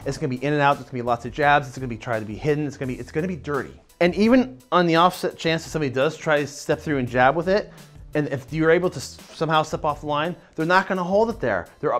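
Quick-talking martial arts guy in his 30s, earnest, explaining stuff to camera, faint music underneath. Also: mid-range voice. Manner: earnest, emphatic, gestures while explaining. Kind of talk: instructional talk to camera. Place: indoor dojo-style room with lamp and screens.

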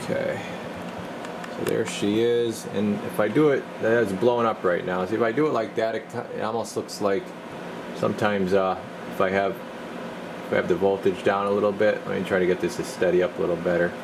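A man with a deep voice and a noticeable fry narrates a hands-on equipment demo. He speaks casually and offhandedly, as if thinking aloud at his workbench. A loud, steady hum sits behind his voice. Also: normal speed. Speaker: radio technician demonstrating a CB radio.

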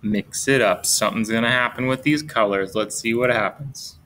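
A middle-aged man reading a picture book aloud to young children in a playful, expressive way, drawing out words and posing simple questions. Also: medium pitch, normal speed, slight background noise. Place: indoors at a desk, webcam with book camera.

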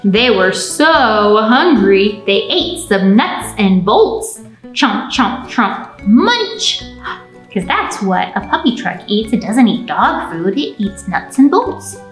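A woman's voice: medium pitch, very animated, slow pace, soft background music. A woman in her thirties leading a storytime for small children, speaking in a simple, playful, encouraging way. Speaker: children's library storytime host. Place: medium-large indoor library room with painted mural. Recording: roomy indoor echo.

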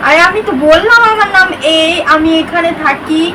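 Very high-pitched coaxing woman, distant mic. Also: normal speed, heavy background noise. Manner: coaxing, pleading. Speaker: vlogger making a prank call. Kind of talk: casual flirtatious phone chat. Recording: distant mic with music behind.

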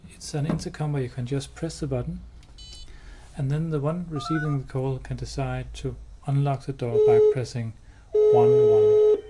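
A man giving a hands-on product demo indoors, explaining step by step in a halting, matter-of-fact way. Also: mid-range voice, sing-song. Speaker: product demonstrator. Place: indoors, showroom with wall-mounted devices. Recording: clear background noise.

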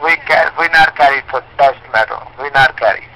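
A man's voice: mid-range voice, a little fast, sharp tone, a little hiss or hum. A man with a non-native English accent, working as a pizza driver, politely repeating himself on a customer phone call. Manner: polite, repetitive. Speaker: pizza delivery driver taking calls.

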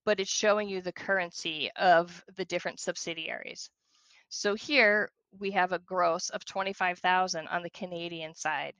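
A woman demonstrating software, walking through each step in a conversational tutorial style. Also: lowish voice, no room sound, low-quality recording.

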